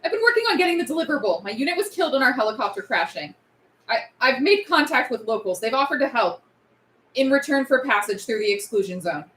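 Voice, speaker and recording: High lively female voice, woman acting desperate young lieutenant, urgent, pleading, roomy sound. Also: fast. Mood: tense, formal. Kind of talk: script table read acting. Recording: roomy, echoey table read.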